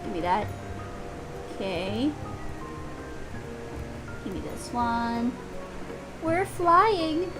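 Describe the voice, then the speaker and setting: A woman's voice: a little high, big pitch swings, drawn-out words, pauses often, music in the background, rough recording. A woman doing casual live gameplay commentary in a playful, exclamatory way, swearing freely. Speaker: game streamer.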